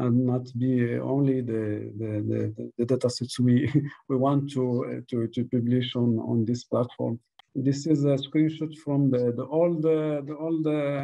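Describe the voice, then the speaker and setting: Halting man presenting over a video call. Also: medium pitch, gravelly, warm tone, poor audio. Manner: halting, many fillers and restarts. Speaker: statistics office presenter.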